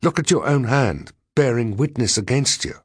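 A man voicing a battered, stern college gentleman with formal speech and a mumble through swollen lips. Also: medium pitch, expressive, moderate pace, slightly rough, no echo, clean studio sound, pitched above the performer's own voice. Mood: indignant, accusing. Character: battered, indignant college man, accusing then cautious.

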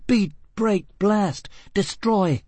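A man voicing a panicky, volatile young woman in a storybook reading, with a shrill, high-strung delivery. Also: sing-song, normal speed, dark tone, husky, no echo. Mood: furious.

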